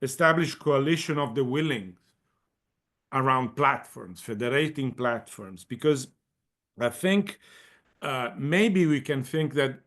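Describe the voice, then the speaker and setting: A man's voice: mid-range voice, animated, a little slow, fairly bright tone. A man answering on an online panel, thinking aloud with frequent repetitions and restarts. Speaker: panelist leading a community of practice.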